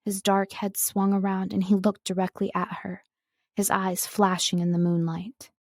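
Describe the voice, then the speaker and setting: Woman narrator with a lightly creaky voice. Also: medium pitch, level tone, normal speed, darkish tone, dry recording, clean studio sound. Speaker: voice-over artist and audiobook narrator. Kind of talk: scripted narration.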